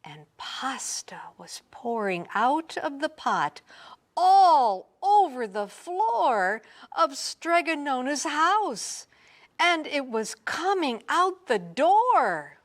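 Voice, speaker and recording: A woman reads a children's story aloud with theatrical expression. Her voice sits a little high and swings widely in pitch. She takes her time over each phrase, sometimes dropping to a hush. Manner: expressive, theatrical, drawn-out phrasing with hushed drops. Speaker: storybook reader. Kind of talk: dramatic children's read-aloud narration.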